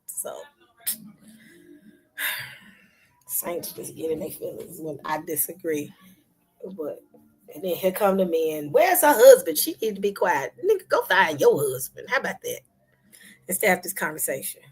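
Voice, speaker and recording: A woman hosting a casual livestream chat, talking to her viewers with soft whispery asides and sudden loud bursts. Her voice is husky, as if she is losing it. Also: medium pitch. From Memphis, United States. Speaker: livestream host.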